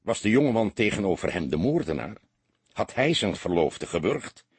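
A man's voice: lowish voice, big pitch swings, normal speed, darkish tone, husky, no room sound. A man reading a crime story aloud as an audiobook narrator, in a dramatic scripted style.

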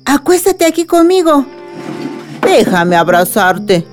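A woman's voice: high-pitched, sing-song, slow, soft background music, exaggerated voice. An actress in a radio drama playing a tender, motherly village widow who soothes and consoles. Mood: soothing.

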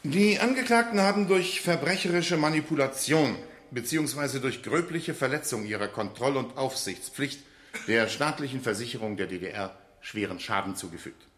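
A man's voice: mid-range voice, lively intonation, normal speed, fairly bright tone, lightly acted. A man playing a stern, formal judge in a radio drama, declaiming a verdict in official language. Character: stern formal male judge pronouncing sentence. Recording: roomy, echoing courtroom-like acoustic.

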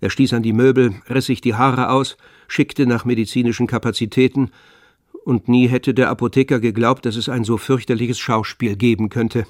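A man narrating a literary radio drama in a measured, grave manner. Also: mid-range voice, fairly even intonation, a little mellow, dry recording, clean studio sound.

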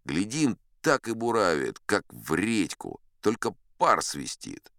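A man's voice: lowish voice, wildly swooping pitch, slow, creaky, a bit bright, no echo, studio quality, full character voice. An actor playing a boastful old sea captain, telling his tall tales in a folksy, self-assured, conversational way. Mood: self-satisfied. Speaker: audiobook performer narrating in character. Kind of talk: dramatic first-person storytelling.

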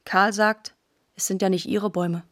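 A woman narrates scripted literary text for a radio feature. Her voice sits at a middle pitch, and she delivers the lines in short, plain-spoken phrases. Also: steady tone, moderate pace, fairly bright tone.

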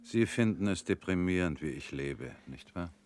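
An actor in a radio drama playing a weary middle-aged family man, guarded and resigned, talking in flat, curt lines that often sink quiet. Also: low voice, normal speed, slight background noise.

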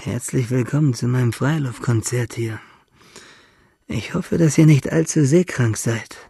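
An actor playing a dying young man in a radio drama, husky and gravelly, musing intimately in speech, bitter yet playful, asking and answering himself. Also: mid-range voice, measured pace, dark tone, dry recording. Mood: mock-ceremonious.

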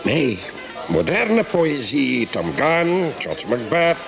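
A pushy eccentric, music behind. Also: male voice, fairly high pitch, big pitch swings, slow, gravelly, exaggerated voice. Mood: insistent, provocative. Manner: bossy, insistent, drifting into dreamy musing. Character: pushy, eccentric, rambling local man, overfamiliar with a visitor. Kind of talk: radio play acting.

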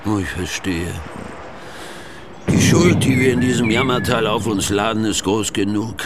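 Radio play casting: male actor as pious, evasive church official, low unctuous preacherly voice, noticeable background noise. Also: measured pace, natural voice. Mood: defensive, then solemn preaching. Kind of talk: German radio drama acting.

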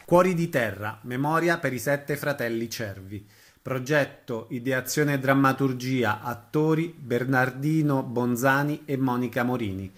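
A man's voice: medium pitch, unhurried. A man hosting a radio programme on theatre, reading announcements and putting questions to guests. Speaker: radio theatre show host and interviewer. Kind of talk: scripted announcements and interview questions.

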